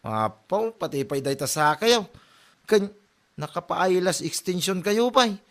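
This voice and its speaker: A man's voice: high-pitched, animated, a little slow, a bit bright. A man playing a nagging, teasing young son in a comedy drama, exasperated. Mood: teasing surprise. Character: nagging, teasing young Ilocano son scolding his mother. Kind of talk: Filipino comedy audio drama.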